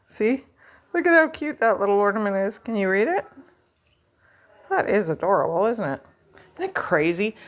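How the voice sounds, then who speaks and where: Chatty middle-aged woman vlogging at home. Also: a little high. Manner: chatty, rambling, self-deprecating. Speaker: vlog host. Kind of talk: casual chat while decorating.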